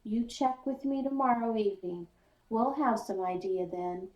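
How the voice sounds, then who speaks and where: Woman reading as a measured country doctor, echoey. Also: fairly high pitch, darkish tone, close to an everyday voice. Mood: matter-of-fact. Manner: measured, plain-spoken. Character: matter-of-fact small-town country doctor, a man. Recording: roomy echo, distant mic.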